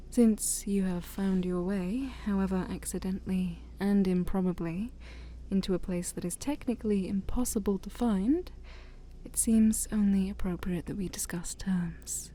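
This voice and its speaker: Ancient forest demon princess, played by a woman: haughty and sly, mellow near-whisper, unhurried ASMR roleplay delivery. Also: medium pitch, slight background noise, natural voice. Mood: coaxing, sly. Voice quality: breathy, often near-whispered. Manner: slow, teasing, haughty, theatrical. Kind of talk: soft-spoken ASMR roleplay.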